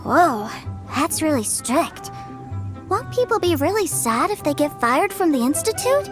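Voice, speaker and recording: Excitable little game boy, very high voice, unhurried, childlike, earnest, prominent music. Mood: awed, excited. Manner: wide-eyed, earnest, childlike. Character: innocent, excitable little boy adoring his big brother. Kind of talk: video game voice acting.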